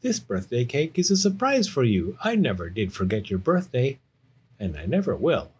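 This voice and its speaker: A man voicing an affectionate mother bear in a children's storybook, calm, patient and gently teasing. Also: mid-range voice, normal speed, a little hiss or hum. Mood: loving.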